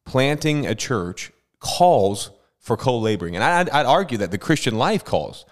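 A man hosting a church podcast as a pastor, chatty and quick, often stumbling and restarting mid-thought. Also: medium pitch, sing-song.

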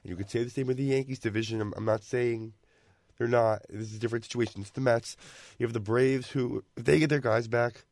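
A young man with a raspy voice, co-hosting a casual sports discussion in a measured way. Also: mid-range voice, steady tone, darkish tone, dry recording, clean studio sound. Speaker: co-host.

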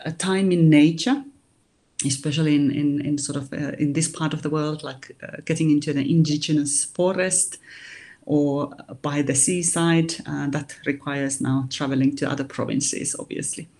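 A woman's voice: low-pitched, fairly even intonation, a little mellow. Reflective woman coach. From Finland. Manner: reflective, thinks aloud with pauses. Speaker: life coach, guest. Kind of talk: interview answers.

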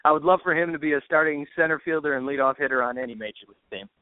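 A man speaking as a baseball announcer guest in a casual sports interview, thinking aloud haltingly and repeating words. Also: on the high side, no echo. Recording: narrow phone-like line.

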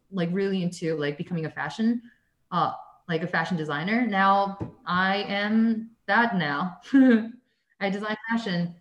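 A woman's voice: mid-range voice, a bit bright. Chatty woman, echoey room. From United States. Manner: rambling, lots of "like" and "um" fillers, laughs often. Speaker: guest artist. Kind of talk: casual interview chat.

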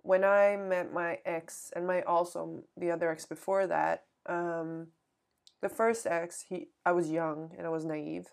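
A young woman on a podcast, talking reflectively and hesitantly, often trailing off softly. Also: lowish voice, steady tone, unhurried, creaky. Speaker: podcast co-host. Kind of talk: casual chat.

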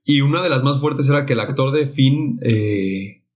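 A man's voice: mid-range voice, a little hiss or hum. A young man with a Mexican Spanish accent, co-hosting a podcast in casual chat, blunt and full of swearing. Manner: blunt, swears freely, quick asides. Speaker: podcast co-host. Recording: dull lo-fi podcast audio.

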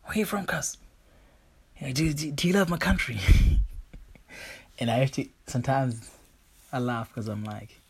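Husky laid-back man chatting. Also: medium pitch, unhurried, pauses often. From Zimbabwe. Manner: relaxed, rambling, frequent fillers like "you know". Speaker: podcast host. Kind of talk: casual personal monologue.

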